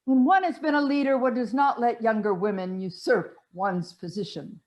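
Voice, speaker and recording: A woman's voice: fairly high pitch, moderate pace, a little mellow, close to an everyday voice. A woman playing a proud, jealous, aging society matron in a stage comedy, theatrical and emphatic. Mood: proud, indignant. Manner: theatrical, emphatic, grandly indignant. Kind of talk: stage comedy acting, one-act play.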